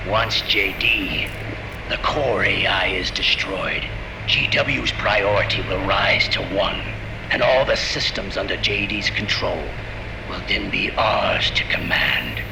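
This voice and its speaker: A man playing a calculating, commanding villain in a video game cutscene, speaking in a deliberate, imperious and menacing way. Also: vocal fry, exaggerated voice. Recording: noisy rumbling ambience.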